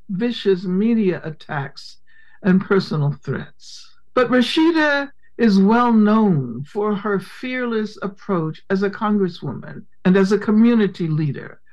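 A woman's voice: medium pitch, animated, darkish tone. A woman formally introducing the main speaker at an event. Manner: measured, formal delivery. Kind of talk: read introduction. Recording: dull lo-fi sound.

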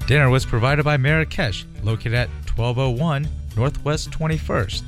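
A man reading sponsor announcements in a slow, even way. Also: medium pitch, music in the background.

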